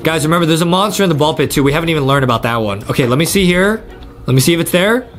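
A young man with a fairly high voice gives excited let's-play commentary. He is animated and repeats himself as he addresses viewers. Light game music plays behind him. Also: rapid-fire. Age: young adult. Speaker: gaming video host.

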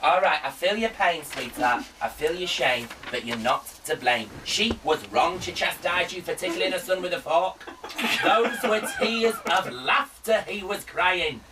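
A man's voice: high voice, animated, unhurried, recorded from a distance, noisy background. A man playing a camp psychic. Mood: mock-mystical. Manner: camp, mock-mystical, coos "darling" and "sweetheart". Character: camp theatrical male psychic, fussy and flamboyant. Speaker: studio guest psychic. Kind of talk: improvised comedy character on radio.

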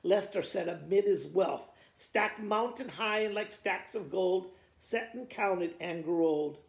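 A man in his sixties reading poems aloud for children in an expressive storytime style. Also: high voice, measured pace, gravelly. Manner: expressive, theatrical delivery. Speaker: school principal reading to students. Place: living room by a fireplace. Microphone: camera a short distance away. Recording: roomy indoor echo.

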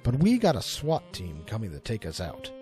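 A man performs a frightened yet resolute detective from an audio drama. His delivery is urgent and earnest, with big swings in pitch and a dark, soft-edged tone over faint music. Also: wildly swooping pitch, normal speed. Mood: urgent, reassuring. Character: frightened but determined male detective, reassuring and resolute.

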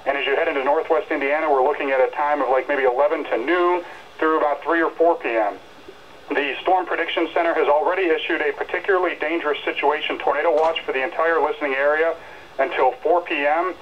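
A male meteorologist speaks in a slightly high voice, explaining and cautioning in an earnest way. He sounds like a live briefing, coming muffled out of a weather radio speaker. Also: fairly even intonation, quick pace, a little hiss or hum. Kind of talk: live, semi-scripted severe weather briefing. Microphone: radio speaker re-recorded by a camera in a room.

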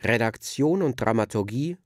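A man's voice: medium pitch, animated, moderate pace, no room sound, studio quality. A man reading scripted titles and credits as the announcer of a radio drama. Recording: music under the voice.

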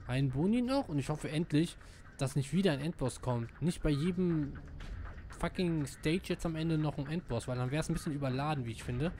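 Lively gamer guy, higher-pitched. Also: normal speed, slight background noise. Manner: slangy, excitable, mixes English gamer words into speech. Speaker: let's player. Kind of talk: casual live gameplay commentary.

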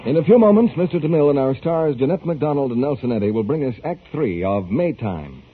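A man's voice: mid-range voice, animated, fast talker. A man working as a 1940s radio announcer, with a polished, emphatic sales delivery. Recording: tinny muffled 1940s radio broadcast.

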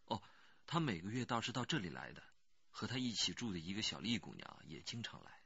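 A man's voice: on the low side, big pitch swings, brisk, creaky, sharp tone, slightly rough, no echo, lo-fi sound, full character voice. An actor playing an anxious rural working man who pleads earnestly and hesitantly in a radio crime drama. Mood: cooperative, explaining.

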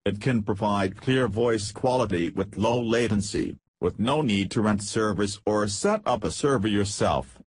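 A man narrating a scripted software tutorial, reading out instructions step by step. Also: on the low side, measured pace, few pauses, slight background noise.

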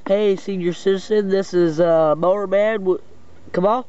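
A man making short, somewhat halting calls as an operator on CB radio. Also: high voice, steady tone, warm tone. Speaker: CB radio operator, video maker. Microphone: camera mic beside a CB radio set. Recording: dull sound, clear radio static. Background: radio static and clicks.

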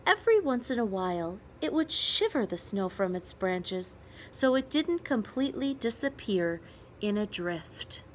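A woman reading a children's picture book aloud as storyteller, with expressive emphasis and dramatic pauses. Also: mid-range voice, a little hiss or hum, low-quality recording.

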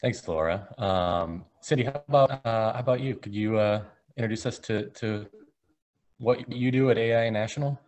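A man moderating a video call panel, friendly and a little halting as he introduces the speakers. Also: on the low side, darkish tone.

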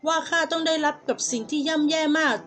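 A woman's voice: very high voice, quick pace, fairly bright tone, faint music behind, voice pushed up. Woman doing a sly ungrateful wolf. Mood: demanding. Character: ungrateful sly male wolf, demanding and whiny.

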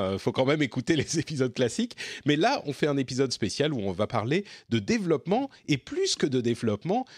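Male podcast host, casual and chatty, mid pitch, dry studio sound. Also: a little mellow, no room sound. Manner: chatty, many fillers and restarts. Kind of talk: casual tech podcast talk.

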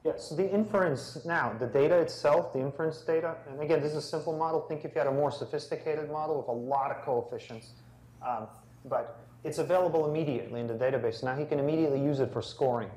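A man's voice: on the high side, fast talker, darkish tone. Emphatic man chiming in, echoey hall. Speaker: co-presenter. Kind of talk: live tech meetup talk. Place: large lecture room with audience.

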